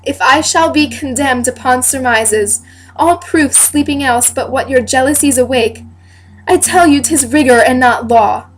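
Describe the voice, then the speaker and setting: A young woman playing a wronged, dignified queen who defends her honour in deliberate, declamatory Shakespearean verse. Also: high-pitched. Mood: wounded, defiant. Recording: noisy amateur home recording.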